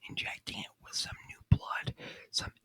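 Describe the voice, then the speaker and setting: Male ASMR host, fully whispered review delivery, bright airy hiss, intimate close-miked dry sound. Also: normal speed, no echo. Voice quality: breathy unvoiced whisper. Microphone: close microphone.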